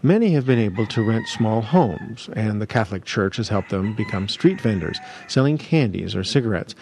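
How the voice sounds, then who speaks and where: A male radio reporter narrating a scripted news feature in a measured, lively delivery. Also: fairly low pitch, a little hiss or hum.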